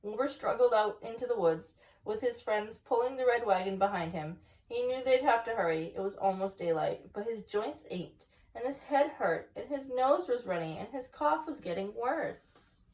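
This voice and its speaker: A woman with a fairly high voice reads a picture book aloud for children. She tells it expressively and drops in chatty little asides. She sounds a bit away from the microphone. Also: moderate pace, recorded from a distance, rough recording. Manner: expressive storytelling with chatty asides to young listeners. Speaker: story time reader, teacher.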